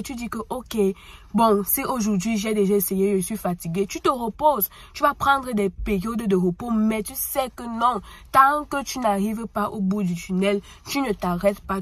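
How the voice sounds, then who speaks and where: Woman with a cold, husky voice, earnest motivational talk, dry close sound. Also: medium pitch, no echo. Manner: earnest, repetitive, addresses listener as tu. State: has a cold. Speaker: motivational speaker.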